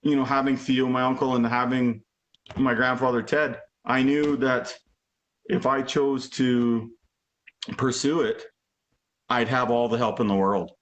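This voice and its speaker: A man's voice: mid-range voice, little pitch movement, measured pace, dull audio. A man in his forties, a guest drummer chatting casually, rambling with lots of "you know" and "like". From Alberta, Canada. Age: 40s. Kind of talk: casual chat. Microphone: video call.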